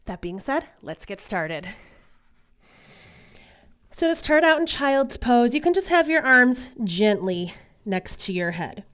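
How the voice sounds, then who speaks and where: A woman's voice: a little high, sing-song, takes it slowly, long pauses, a bit bright, clear, resonant tone. A woman yoga instructor getting over a cold, guiding a class in a casual, reassuring way. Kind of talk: guided exercise class instruction.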